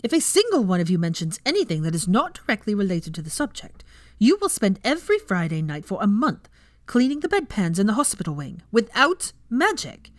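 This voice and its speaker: A woman's voice: mid-range voice, big pitch swings, brisk, no echo, voice pushed up, natural voice. A woman voicing a furious young female professor, scolding a class sharply and indignantly in a storybook reading. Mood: scathing, stern. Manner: sharp, indignant, scathing lecturing. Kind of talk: dramatic fan fiction character reading.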